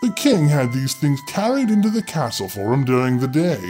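Animated man telling a dark fairy tale. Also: mid-range voice, moderate pace. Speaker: storyteller, host. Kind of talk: theatrical fairy tale narration with playful asides. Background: music.

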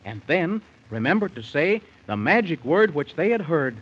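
A man's voice: a little high, very animated, normal speed, a bit bright, breathy edge. A man narrating a 1930s radio bedtime story in theatrical, folksy, sing-song rhyme. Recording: dull 1930s radio recording.

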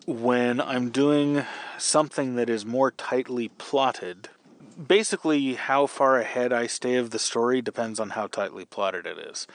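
A man's voice: medium pitch, no echo. A man hosting a podcast, casually explaining his working methods as a novelist in answer to a question. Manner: explanatory, conversational. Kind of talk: casual advice talk answering a listener question.

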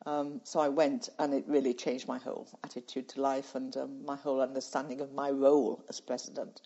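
Older lady from the uk, low voice, husky, chatty interview guest, kinda muffled audio. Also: flat delivery, fairly quick, mellow. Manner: reflective, laughs easily, many ums. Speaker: guest, former charity president. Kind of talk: conversational interview storytelling.

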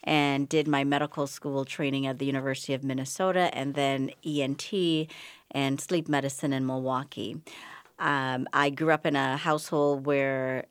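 A woman in her fifties with a faint Bahamian accent and a low voice answers questions on a radio show. She is thoughtful and conversational, with fillers, and the studio sound is dry. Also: fairly even intonation, moderate pace, clear, resonant tone, dry recording. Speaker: physician guest. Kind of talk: radio interview.

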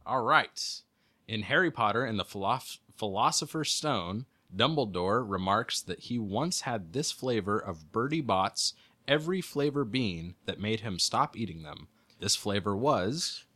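A man's voice: fairly low pitch, expressive, a little slow, pushed and bright. A man acting as a podcast producer, casually reading out quiz questions and chatting with dry, wry remarks.

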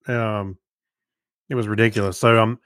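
A man co-hosting a podcast, chatting casually about shows in a halting way, often searching for words. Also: fairly low pitch, flat delivery, fairly bright tone, smooth, dry recording, studio quality. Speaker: podcast co-host.

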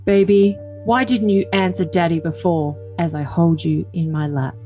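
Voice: mid-range voice, fairly even intonation, moderate pace, mellow, soft background music, lightly acted. A performer playing a doting young boyfriend, earnest and stilted, in an overly dramatic reading of text messages. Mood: syrupy.

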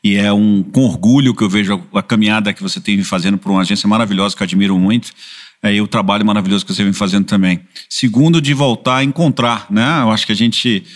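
A middle-aged man from Salvador with a Bahian Brazilian accent speaks in a lowish voice. He talks warmly and reflectively, often stopping to search for words and filling the gaps. He sounds like an interview guest in a studio. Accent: Bahian Brazilian Portuguese. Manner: warm, reflective, hesitant with many fillers. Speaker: advertising executive guest. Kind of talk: casual interview. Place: podcast studio, in person.

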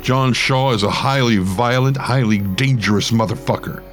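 A man voicing a crude, brisk leader of a search party who talks bluntly and in a hurry. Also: medium pitch, faint music behind, lightly acted. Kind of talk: storytelling.

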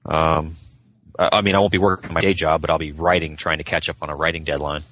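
Male podcast guest, deep but bright and punchy, casual and enthusiastic conversational delivery. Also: little pitch movement, quick pace, no room sound. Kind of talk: casual chat.

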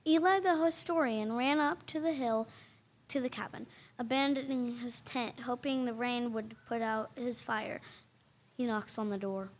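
A woman's voice: fairly high pitch, moderate pace. A woman reading scripted narration for an audio drama in a storytelling style.

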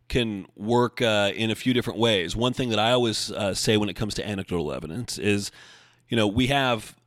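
A man's voice: on the low side, fairly bright tone, no echo. A man hosting a casual solo podcast, rambling and correcting himself with dry humor as he answers listeners. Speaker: podcast host and bodybuilding coach.

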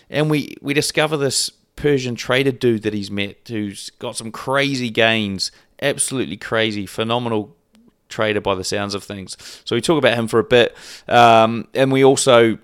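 A man's voice: on the low side, moderate pace, clear, resonant tone, no echo, studio quality. A man hosting a podcast, chatting loosely with plenty of ums and restarts. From New Zealand. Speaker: podcast host. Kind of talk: casual interview chat.

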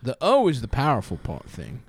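A man's voice: medium pitch, wildly swooping pitch, brisk, husky. A man with an American accent, co-hosting a comedy podcast in casual, profane, mock-serious banter. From United States. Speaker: podcast co-host.

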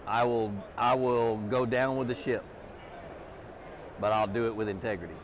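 Middle aged guy w a big loud voice, earnest, stumbles n repeats words, faint noise around. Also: mid-range voice, fairly even intonation, normal speed. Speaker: podcast guest. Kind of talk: casual interview conversation. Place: trade show booth, outdoors.

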